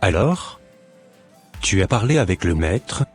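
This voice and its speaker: A man's voice: low-pitched, very animated, slow pace, slightly rough, soft background music, exaggerated voice. A man voicing a wounded, stern husband in an amateur cartoon dub, with a grave, earnest delivery. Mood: concerned, compliant. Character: betrayed, wounded but loving husband, stern and brooding.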